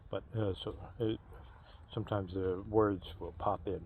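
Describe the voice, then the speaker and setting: A man in his fifties talking casually to camera, halting and full of fillers and restarts. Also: fairly low pitch, flat delivery, narrow, tinny audio, a little hiss or hum. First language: English. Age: 50s. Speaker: vlogger. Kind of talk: casual personal talk to camera.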